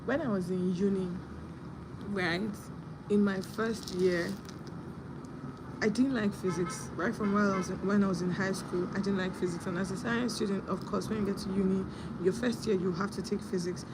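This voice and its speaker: A young woman hosting a casual live stream, husky from a sore throat, telling a story and greeting viewers by name. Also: medium pitch, fairly even intonation, moderate pace, background noise.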